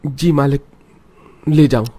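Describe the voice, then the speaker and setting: A man's voice: on the high side, fairly even intonation, slow pace, dark tone. A man playing an earnest, downtrodden young father and poor worker in a melodramatic cartoon story, with a pleading manner. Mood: meek. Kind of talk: melodramatic Hindi cartoon acting.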